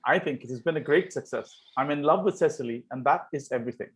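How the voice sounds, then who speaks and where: Man reading as flippant young dandy, slightly high voice, breezy, teasing, Victorian stage comedy table read. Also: fast talker, lightly acted. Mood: lovestruck.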